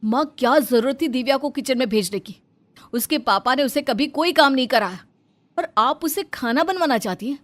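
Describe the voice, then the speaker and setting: A woman's voice: high voice, lively intonation, normal speed, fairly bright tone. A woman voicing a protective, hot-tempered young husband who scolds and accuses in a melodramatic story cartoon. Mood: angry, accusing. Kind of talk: melodramatic Hindi moral-story cartoon acting.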